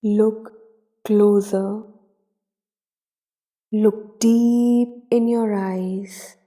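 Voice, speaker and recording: Very slow, mellow woman guiding meditation. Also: medium pitch, steady tone. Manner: soothing, deliberate, with long pauses between short phrases. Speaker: meditation guide. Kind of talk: guided meditation narration.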